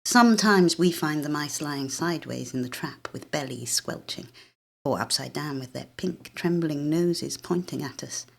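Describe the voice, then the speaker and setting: A woman's voice: low voice, normal speed, gravelly. A British woman narrating a literary short story as a dramatic reading.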